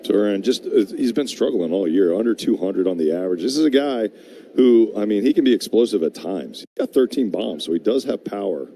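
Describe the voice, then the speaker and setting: A man's voice: lowish voice, lively intonation, a little fast, warm tone. A man giving conversational, explanatory color commentary on a live baseball radio broadcast. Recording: faint background noise.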